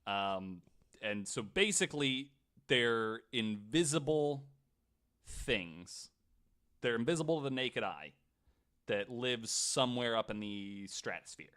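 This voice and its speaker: A man co-hosting a podcast, telling a story casually in a skeptical, wry, somewhat halting way. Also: mid-range voice, animated, fairly bright tone, clean tone. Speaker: podcast co-host.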